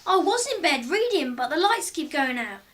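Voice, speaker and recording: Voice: high voice, sing-song, moderate pace, fairly bright tone, slight background noise. A performer playing a slightly spooked child in a radio drama. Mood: uneasy, hopeful. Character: child up past bedtime, reading, slightly spooked.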